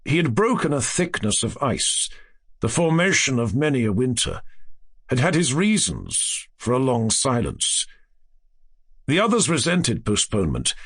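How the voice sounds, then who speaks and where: A man's voice: mid-range voice, a bit bright, slightly rough. A man reading a classic ghost story as scripted literary narration for an audiobook.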